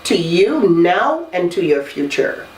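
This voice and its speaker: A woman hosting a talk show, congested from a cold, coaching listeners in a slow, measured way. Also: lowish voice, very animated, darkish tone. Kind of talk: reflective self-help talk. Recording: roomy echo, distant mic, faint noise.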